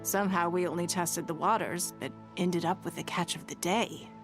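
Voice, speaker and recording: A woman's voice: fairly low pitch, steady tone, moderate pace, over music, close to an everyday voice. An actress playing a shrewd, poised noblewoman in a video game, composed and authoritative. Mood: thoughtful, decisive. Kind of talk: scripted video game dialogue.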